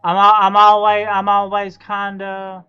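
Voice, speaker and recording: A man in his thirties chatting casually as a live streamer, halting and repeating his phrases over and over. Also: sits high, monotone, clean tone, highs cut off, soft background music. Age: 30s. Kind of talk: casual chat while gaming.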